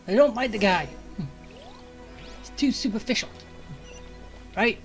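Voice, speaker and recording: A woman's voice: fairly high pitch, lively intonation, measured pace, faint music behind. A woman game streamer giving casual commentary as she plays.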